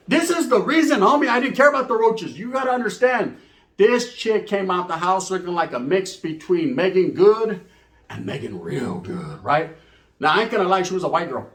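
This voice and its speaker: A man tells a comedic story in a high, lively voice, heavy with slang, jumping from loud bursts down to low mutters. The room rings with echo around him. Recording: echoey room.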